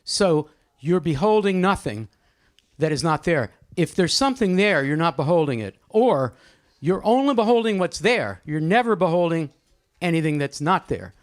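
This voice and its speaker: Animated high-pitched man leading a discussion. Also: normal speed. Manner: animated, questioning, encouraging. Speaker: discussion leader. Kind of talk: lively seminar-style poetry discussion. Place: live group event with audience microphones.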